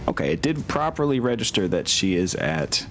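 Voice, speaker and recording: A man's voice: on the low side, big pitch swings, normal speed, fairly bright tone, a little hiss or hum. A man narrating a tabletop war game as game master, with casual asides, swearing and exclamations. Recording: music playing behind the voice. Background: music.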